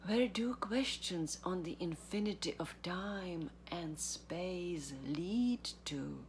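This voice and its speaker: A woman giving a literary reading, with deliberate, measured phrasing, long pauses and a breathy, gravelly voice. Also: fairly low pitch, lively intonation, slight background noise. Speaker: artistic director of a theatre, reading aloud.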